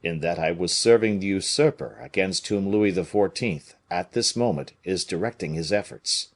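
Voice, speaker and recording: Man playing a grave, placating bishop, low-pitched. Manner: measured, solemn, placating. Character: Aramis, grave, smooth-talking conspiring bishop.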